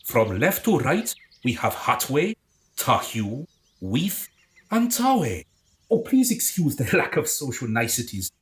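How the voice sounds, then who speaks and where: A man's voice: mid-range voice, very animated, takes it slowly. A man playing a smug, theatrical rival gang leader with mock-courteous manners in a radio comedy. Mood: smug, mock-polite. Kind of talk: radio comedy drama acting.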